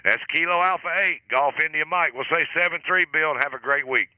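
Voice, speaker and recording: A man acting as net control on an amateur radio net, chatting in a relaxed, friendly way and chuckling now and then. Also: a little high, a little fast, dull audio, noisy background.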